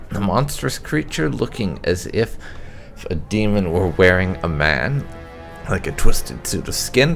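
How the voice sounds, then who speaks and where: Male gaming narrator, deep voice with lively swings in intonation, reading scripted lore over music. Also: creaky, a little hiss or hum. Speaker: gaming channel host.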